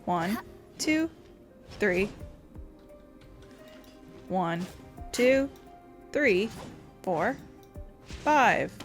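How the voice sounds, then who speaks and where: A woman giving casual, rambling let's play commentary as a gaming streamer. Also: mid-range voice. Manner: rambling, informal. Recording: game music behind the voice.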